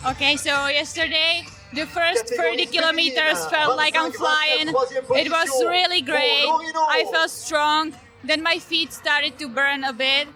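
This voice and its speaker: High-voiced woman from Czech Republic. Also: fairly even intonation, takes it slowly. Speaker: race athlete. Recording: music playing behind.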